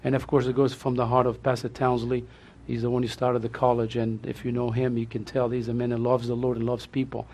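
A man's voice: medium pitch, completely flat delivery, fairly quick, a little mellow. A man with a Portuguese accent, a pastor welcoming his church, effusive and repetitive, laughing often.

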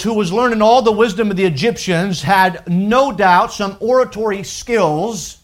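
High-voiced preacher with a French accent. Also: male voice. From France. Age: around 40. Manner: animated preaching, frequent stammered restarts. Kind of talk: sermon.

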